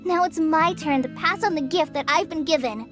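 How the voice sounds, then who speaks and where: Game role for a woman playing a sweet-natured earnest girl, very high voice, timid yet excitable, music underneath. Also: moderate pace, lightly acted. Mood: earnest, resolute. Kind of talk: English video game voice acting.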